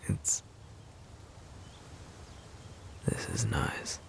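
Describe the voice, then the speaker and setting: A man playing a sweet, bashful wolf-shifter boyfriend in an intimate ASMR roleplay, speaking softly and haltingly in a breathy murmur. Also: natural voice. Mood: shy, grateful. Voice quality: very deep, breathy, gravelly murmur. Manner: hesitant, halting, soft-spoken. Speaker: audio roleplay performer.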